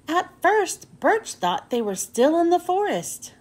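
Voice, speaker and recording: High-pitched, expressive woman reading a children's storybook. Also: takes it slowly. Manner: careful, expressive phrasing for young listeners. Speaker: children's book reader and host. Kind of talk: read-aloud storybook narration for children.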